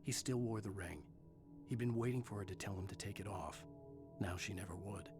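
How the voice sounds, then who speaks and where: An actor playing a troubled, brooding writer hero in a video game, with a grave, measured delivery. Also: male voice, fairly low pitch, natural voice. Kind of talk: scripted narration and drama in a video game. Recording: game audio with music behind.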